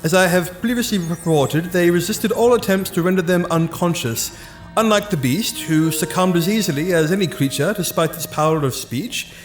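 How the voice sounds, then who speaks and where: An actor playing a pompous, imperious scientist in a fantasy audio drama, speaking formally and precisely as if dictating. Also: male voice, high voice, normal speed, lightly acted. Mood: clinical, commanding. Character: pompous male mad scientist, clinical, imperious, self-important. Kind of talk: full-cast fantasy audio drama acting. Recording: roomy echo with music behind.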